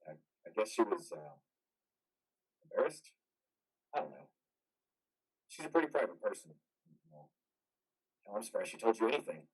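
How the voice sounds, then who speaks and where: An actor playing a traumatized, bitter and weary combat veteran in a modern stage play reading, with a husky voice. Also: male voice, on the low side, very animated, normal speed, long pauses, full character voice, poor audio. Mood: ashamed, low.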